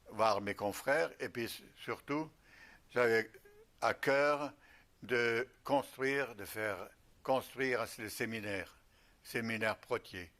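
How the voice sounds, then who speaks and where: An older man from Alsace, a retired missionary bishop, recalling his life slowly with many pauses. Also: mid-range voice, a bit bright. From Alsace, France. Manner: slow, halting recollection with many pauses. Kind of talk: reminiscing interview.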